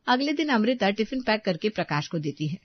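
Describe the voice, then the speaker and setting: A woman's voice: mid-range voice, big pitch swings, brisk, no room sound, lo-fi sound. A woman reading scripted narration for a family story.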